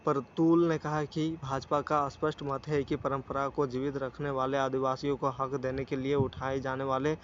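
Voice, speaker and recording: A man's voice: medium pitch, level tone, normal speed, few pauses. A man reading a scripted news report in a formal way, stumbling now and then over words. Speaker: news channel narrator. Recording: dull lo-fi sound with faint noise.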